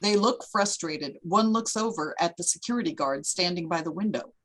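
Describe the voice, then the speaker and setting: A woman's voice: on the low side, normal speed, a bit bright. A woman reading stage directions as the narrator of a screenplay.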